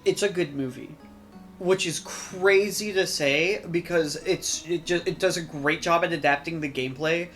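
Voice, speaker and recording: A podcast co-host chatting casually with friends in a hesitant, halting way. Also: mid-range voice, a bit bright, faint music behind. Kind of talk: casual group chat.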